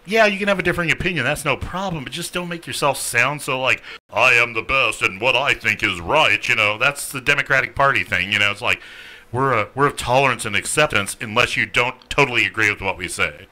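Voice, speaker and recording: A man's voice: medium pitch, fairly quick, poor audio. A man on a podcast, ranting with strong opinions and a big mouth. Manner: loud, ranting, mocking voices. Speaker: podcast co-host. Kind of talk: casual opinionated chat.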